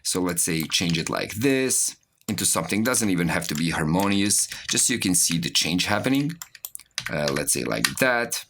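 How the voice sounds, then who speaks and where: A man giving a software tutorial as an instructor, explaining step by step while he demonstrates. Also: low voice, expressive, moderate pace, creaky, background noise. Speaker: music production instructor.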